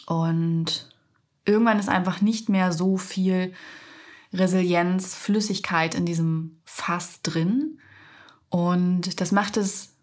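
A young woman hosting a reflective solo podcast, speaking thoughtfully with frequent pauses and soft fillers; she has a cold, so her voice is slightly stuffy and nasal. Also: lowish voice, steady tone. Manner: slow, thoughtful, pausing often with soft fillers. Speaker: podcast host.

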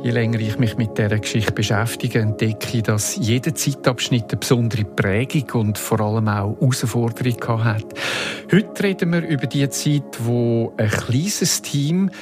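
A man with a Swiss German accent hosting a podcast interview in a measured, explanatory way. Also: medium pitch, music in the background.

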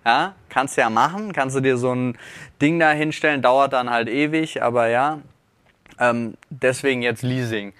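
A man's voice: mid-range voice, normal speed, fairly bright tone, smooth, no room sound. A youngish to middle-aged man chatting casually as a podcast co-host, thinking aloud in loose, colloquial digressions. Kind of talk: casual chat between friends. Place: dry, close-miked recording.

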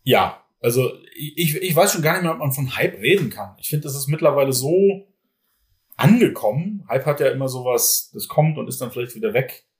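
A man's voice: mid-range voice, animated, normal speed. A man talks shop about running a football team in a casual podcast. His voice sits in the middle of the male range. He rambles, restarting sentences and leaning on fillers as he goes. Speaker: general manager of a football team.

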